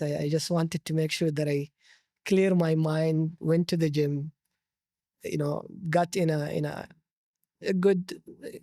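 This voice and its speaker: A man's voice: a little high, mellow, dry recording. Saudi man telling his story, close dry sound. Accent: Saudi Arabic. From Jeddah, Saudi Arabia. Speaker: interview guest. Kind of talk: conversational personal storytelling.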